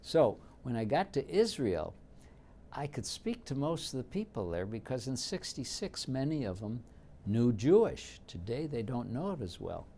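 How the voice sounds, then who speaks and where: Older man in his seventies, Yiddish first language, gravelly low voice, unhurried interview storyteller, light background hiss. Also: big pitch swings, mellow. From Detroit, United States. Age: 70s. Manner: reminiscing, unhurried, with frequent fillers. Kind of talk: oral history interview.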